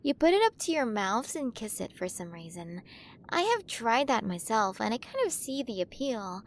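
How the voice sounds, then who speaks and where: A fairly high-pitched alien queen played by a woman speaks straight to her human in an ASMR roleplay. She is ancient yet full of playful wonder, doting and possessive, with a gentle condescension toward the small creature she keeps. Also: sing-song, normal speed, slight background noise. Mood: excited, curious. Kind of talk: ASMR roleplay, acted monologue to the listener.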